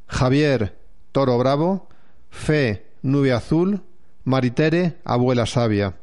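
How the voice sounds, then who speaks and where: A man's voice: mid-range voice, darkish tone. A man introducing a community radio program in a formal, announcing way.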